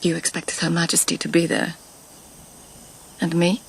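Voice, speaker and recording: A poised aristocratic lady of an ancient imperial court. Her voice is fairly low and her speech formal, measured and questioning. It is naturalistic radio drama, with night insects audible behind her. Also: completely flat delivery, vocal fry, slight background noise, poor audio. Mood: cool, formal.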